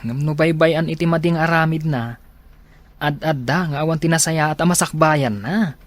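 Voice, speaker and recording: A man's voice: on the high side, moderate pace, no room sound, close to an everyday voice. An actor playing an earnest young male student, a concerned friend, in an Ilocano radio drama.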